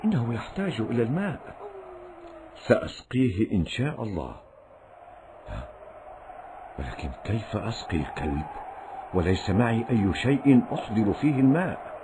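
An actor playing a pious, kind-hearted desert traveler in a children's cartoon, with a theatrical, exclamatory delivery. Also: male voice, on the low side, big pitch swings, normal speed, pauses often, background noise. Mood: pitying, worried. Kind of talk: Islamic children's cartoon acting in formal Arabic.

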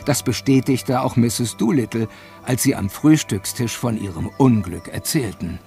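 A man's voice: mid-range voice, normal speed, creaky, dark tone, faint music behind, natural voice. A man narrating a children's adventure radio play as its storyteller. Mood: storytelling.

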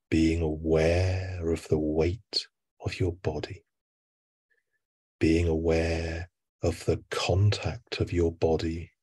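Very slow, low-voiced male hypnotherapist. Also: breathy edge. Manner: soothing, hushed delivery with long pauses. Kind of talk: guided sleep hypnosis.

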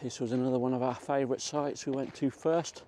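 A man's voice: medium pitch, flat delivery, a little fast, mellow. A middle-aged man, chatting casually as a vlogger while walking outdoors. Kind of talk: casual walk-and-talk chat. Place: outdoors in a country park. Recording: faint outdoor ambient noise.

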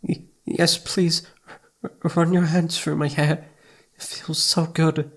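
A man voicing a shy, adoring young boyfriend in an ASMR roleplay, with soft, breathy, pleading, hesitant speech. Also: fairly high pitch, little pitch movement, slow, voice pushed up. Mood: shy, eager.

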